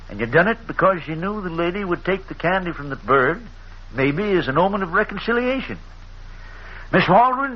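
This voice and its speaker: An actor playing a shrewd rural lawman, folksy and plainspoken with a drawl, in a muffled old radio drama. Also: on the high side. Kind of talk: 1940s radio drama acting. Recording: muffled, faintly noisy old radio recording.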